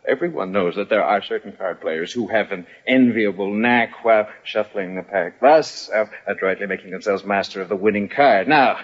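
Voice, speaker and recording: A man acting a mocking, hot-headed army lieutenant in an old-time radio drama, sneering and deliberate. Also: lowish voice, natural voice. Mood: condescending, insulting. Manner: sneering, deliberate, chuckling condescension. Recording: dull, band-limited old radio recording.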